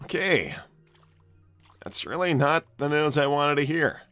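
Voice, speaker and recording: A man's voice: fairly high pitch, expressive, a little slow, a bit bright, clear, resonant tone, no echo, soft background music. A man voicing an anxious, exasperated young cartoon hedgehog hero in an improvised livestream, stammering and repeating himself as he talks. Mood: dejected, worried. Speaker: live improv role-play host. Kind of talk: improvised in-character livestream, reading viewer messages.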